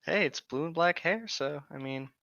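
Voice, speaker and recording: A man with a bright, smooth and slightly high voice reads and riffs casually with friends. The sound is dry and a little dull. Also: moderate pace, dry recording. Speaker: co-host reading fan fiction aloud. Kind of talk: casual chatty read-aloud with riffing.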